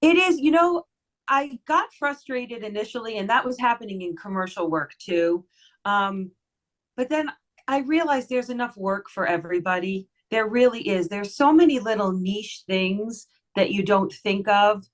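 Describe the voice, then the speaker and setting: A woman voice actress with a lowish voice chats on a podcast. She sounds warm and smiling, and she talks like she is speaking to a friend. She runs through her work in an easy, conversational flow. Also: expressive, normal speed. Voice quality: lower voice for a woman. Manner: chatty, friendly, giggly, smiling tone. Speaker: voice actress guest. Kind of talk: casual podcast interview.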